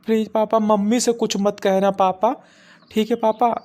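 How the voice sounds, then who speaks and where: A woman's voice: medium pitch, level tone, normal speed, darkish tone, lightly acted. A woman voicing a wayward, foul-mouthed son in a comic cartoon, whining and pleading defensively. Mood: pleading, vengeful. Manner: whiny, repetitive pleading.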